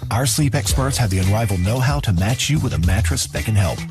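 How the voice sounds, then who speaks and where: A man's voice: lowish voice, expressive, fast talker. A man with an American accent reading a scripted commercial as a voice-over announcer. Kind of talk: scripted advertisement read. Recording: clear music bed under voice.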